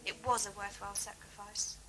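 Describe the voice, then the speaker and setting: A woman playing a proud, sharp-tongued young alien in an audio drama, matter-of-fact and cutting. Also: mid-range voice, flat delivery, a little fast, natural voice. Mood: grave, matter-of-fact. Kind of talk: fan audio drama acting. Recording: noisy old cassette, ambience behind.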